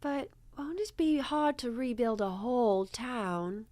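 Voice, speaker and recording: A woman's voice: fairly high pitch, animated, takes it slowly, darkish tone, no room sound. A woman playing an earnest, naive and eager small-town woman in an improvised radio drama. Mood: earnest, hopeful.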